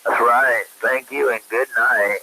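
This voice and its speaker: A man's voice: medium pitch, fairly even intonation, measured pace. A man chatting casually over CB radio in a joking, coarse manner. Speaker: CB radio operator. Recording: static-laden CB radio transmission.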